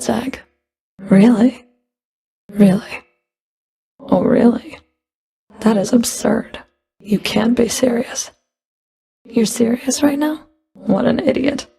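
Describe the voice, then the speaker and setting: A woman's voice: medium pitch, creaky, warm tone. A woman playing a stern, sardonic underworld fury in a video game, speaking in a cool, clipped, dry and commanding manner. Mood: scornful. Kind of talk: video game character voice lines.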